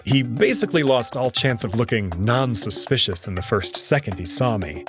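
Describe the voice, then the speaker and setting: A man's voice: medium pitch, expressive, normal speed. A man hosting a visual novel playthrough, reading scripted narration in a dramatic storytelling style. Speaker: host and reader of a game playthrough. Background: background music.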